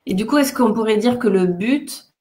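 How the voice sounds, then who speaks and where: A woman's voice: on the high side, lively intonation, moderate pace, darkish tone. A woman hosting a casual online interview, tentative and repeating words as she phrases her questions. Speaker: host of an online live session.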